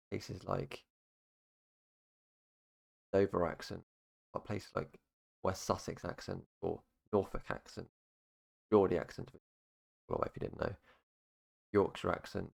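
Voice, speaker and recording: A man's voice: low-pitched, vocal fry, a bit bright, husky. A young British man, talking casually to camera and rambling through facts, with many fillers and restarts. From United Kingdom. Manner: rambling, full of fillers like "obviously" and "basically". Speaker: YouTuber. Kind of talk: casual explainer monologue.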